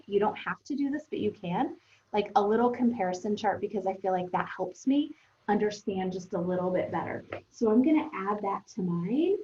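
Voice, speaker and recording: A woman teacher thinking aloud for young pupils in a conversational, expressive read-aloud lesson. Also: medium pitch. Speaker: elementary teacher. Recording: roomy, echoing, dull lo-fi sound.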